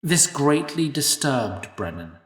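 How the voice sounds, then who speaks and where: A male narrator who takes his time, his voice rising and falling in a lively way. He sits a bit back from the mic in a roomy space that echoes. The delivery is scripted. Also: mid-range voice, slow pace. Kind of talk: scripted audio-drama narration. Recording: echoey room, distant mic.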